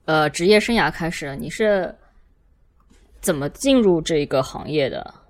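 A woman's voice: low voice, animated, unhurried. A woman hosting a podcast, putting questions to a guest in casual conversational style. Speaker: podcast host, interviewer.

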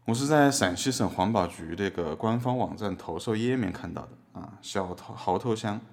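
A man acting as a defiant activist artist defending himself in a podcast courtroom drama. His voice is on the low side, and he talks in a wry, conversational way, throwing out rhetorical questions. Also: normal speed, creaky, a little mellow. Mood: earnest recounting.

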